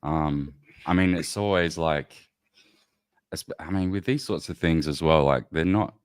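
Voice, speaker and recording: Very low-voiced male host, relaxed, conversational, dry close recording. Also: measured pace, smooth, no echo. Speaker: podcast host. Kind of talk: casual conversation.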